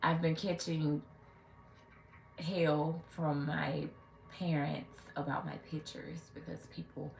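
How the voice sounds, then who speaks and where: A woman's voice: low-pitched, little pitch movement, slow pace, gravelly, faint music behind. A woman in her late thirties hosting a casual livestream, speaking sassily and talking back to her viewers' comments. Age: 30s. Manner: sassy, talks back to commenters. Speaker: livestream host. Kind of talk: casual live chat with viewers. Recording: echoey room with faint ambience.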